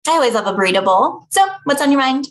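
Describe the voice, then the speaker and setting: A woman's voice: high voice, expressive, fast talker. A woman reading the part of a sarcastic, easily insulted young woman, snippy and incredulous, at a comedy table read. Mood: perky.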